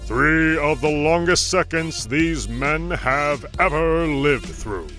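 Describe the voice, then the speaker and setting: A man playing a dramatic adventure serial narrator in a motion comic, deliberate and suspenseful. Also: fairly high pitch, expressive, fairly bright tone, faint music behind.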